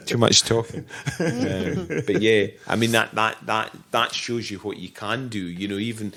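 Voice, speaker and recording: Brief: husky distillery manager, middle-aged man, very low gravelly voice, halting and thoughtful. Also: pushed and bright. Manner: thoughtful, halting, many restarts. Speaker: distillery manager, podcast guest. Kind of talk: relaxed interview talk about whisky making.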